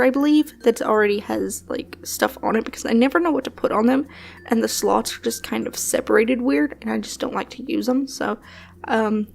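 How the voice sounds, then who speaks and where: A young woman talking casually over gameplay as a channel host, rambling with many fillers and soft laughs, a bit sniffly from allergies. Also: on the high side, creaky. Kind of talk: casual voice-over commentary on a building game.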